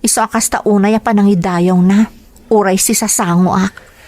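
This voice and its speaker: A woman's voice: mid-range voice, steady tone, normal speed, a little mellow, close to an everyday voice. An actress playing a dutiful, apologetic young wife hurt by her in-laws, in a radio-style drama. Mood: hurt, upset. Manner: apologetic, deferential.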